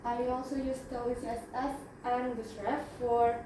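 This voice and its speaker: A young woman with an Indonesian accent presents in a fairly high voice, pausing often to restart and fill gaps. She sounds distant from the mic in a very echoey room with steady background noise. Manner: halting, with frequent fillers and self-corrections. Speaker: student presenter. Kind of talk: class presentation.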